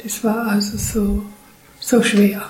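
Slow-speaking woman in an echoing room. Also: mid-range voice, flat delivery, darkish tone. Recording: roomy echo, music behind.

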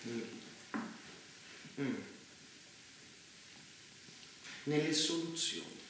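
A man's voice: fairly high pitch, slow pace, fairly bright tone, slight background noise. A man playing a cynical, domineering ruler in a dystopian stage drama, speaking in a theatrical, mocking, philosophizing way. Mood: cold, certain. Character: cynical, domineering male ruler who sees killing as a solution. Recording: echoey distant theatre recording with music.

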